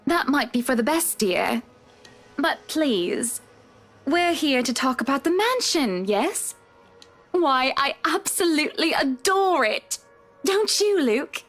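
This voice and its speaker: A woman's voice: high-pitched, animated, takes it slowly, a bit bright, faint music behind, heavily acted. A woman playing a bossy, genteel wealthy wife in a visual novel game, speaking in a prim, refined way. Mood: gracious.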